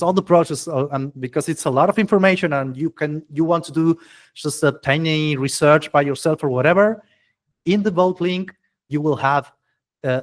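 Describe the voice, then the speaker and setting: A man hosting an informal tech community presentation, speaking enthusiastically in halting, repetitive English with non-native-sounding phrasing. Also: on the high side. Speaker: meetup host presenting project proposals.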